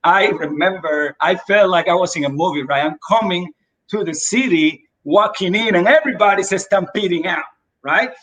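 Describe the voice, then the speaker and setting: Animated man telling his story. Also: high-pitched, moderate pace, low-quality recording. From Dominican Republic. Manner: animated storyteller with many fillers. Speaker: real estate agent, guest. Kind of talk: casual video call interview.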